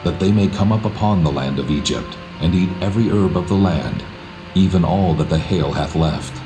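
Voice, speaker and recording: God: low, solemn, commanding, authoritative, with prominent music. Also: male voice. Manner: measured, authoritative. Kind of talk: dramatised scripture acting. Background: prominent background music.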